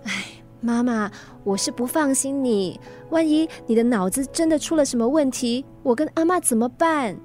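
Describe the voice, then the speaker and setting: A high-pitched, caring teenage girl acted by a woman with a Singaporean accent. She is earnest, coaxing and gently nagging, in radio drama style with faint music underneath. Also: moderate pace, hardly any pauses, natural voice. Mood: worried, coaxing.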